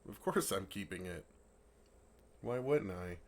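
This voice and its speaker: Man playing a low-voiced, naive friendly dragon. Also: lively intonation, measured pace, exaggerated voice. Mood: delighted, fond. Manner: earnest, playful, puzzled, soft chuckles. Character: friendly, naive, earnest male dragon, fond of a human. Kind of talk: ASMR roleplay, intimate one-sided dialogue.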